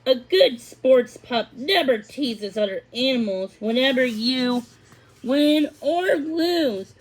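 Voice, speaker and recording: Woman as nanny sheep, female, motherly, admonishing, measured slow delivery, high voice, storybook. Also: lively intonation, full character voice, poor audio. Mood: disappointed. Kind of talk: children's storybook read-aloud.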